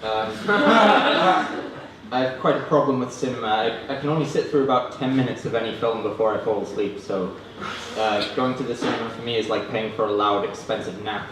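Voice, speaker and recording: A man performing stand-up comedy, hesitant and self-deprecating, reading parody prose in a deadpan way. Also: medium pitch, a little fast, recorded from a distance. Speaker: stand-up comedian reading own mock novels. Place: student union comedy stand-up event, indoors. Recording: roomy, noisy live room recording from afar. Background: audience laughter, room noise.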